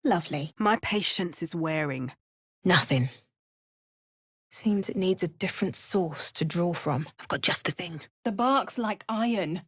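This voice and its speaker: A woman's voice: on the low side, expressive, a little slow, slightly rough, dry recording. An actress playing a wry, battle-hardened young female adventurer in a fantasy video game, delivering dry asides. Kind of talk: video game character voice lines.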